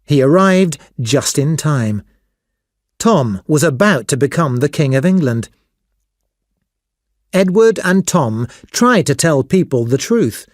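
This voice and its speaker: A man's voice: a little high, expressive, slow pace, pauses often, no echo, studio quality. A man reading scripted material for language learners, enunciating carefully as a narrator. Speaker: audio lesson narrator.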